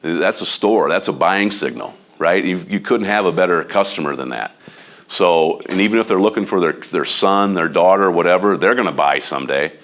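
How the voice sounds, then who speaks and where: A deep-voiced man with a Midwestern American accent talks loudly and bluntly. His manner is animated and a bit overbearing, like a seasoned agent coaching colleagues in an office meeting. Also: normal speed. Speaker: real estate agent giving a training talk. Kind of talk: informal training talk to a room of agents.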